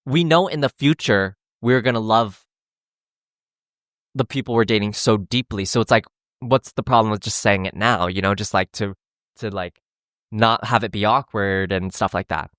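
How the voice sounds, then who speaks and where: A man's voice: fairly low pitch, moderate pace, fairly bright tone, no echo. Young man rambling, dry close sound. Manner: rambling and candid, heavy use of "like", with frequent restarts. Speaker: podcast host. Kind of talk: casual chat about dating.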